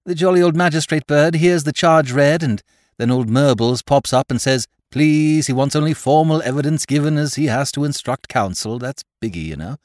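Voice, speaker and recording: A man voicing a jovial, glib upper-class gentleman who chatters in chummy slang. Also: on the high side, moderate pace, no room sound, clean studio sound, voice pushed up, close to an everyday voice. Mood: breezy.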